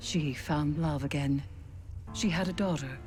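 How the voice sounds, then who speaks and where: Slow, solemn low-voiced storyteller. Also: female voice, a little mellow. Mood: solemn, mournful. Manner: grave, deliberate. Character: solemn female storyteller recounting an old sorrow. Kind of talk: scripted narration in a game cutscene. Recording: dramatic music behind the voice.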